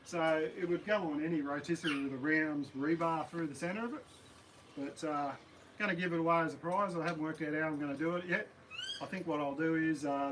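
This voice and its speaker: A man with an Australian accent and a slightly croaky voice, chatting casually and rambling on a live stream from his backyard. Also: on the high side, a little hiss or hum, rough recording. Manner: rambling, relaxed, many ums and uhs. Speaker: camping channel host. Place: backyard outdoors.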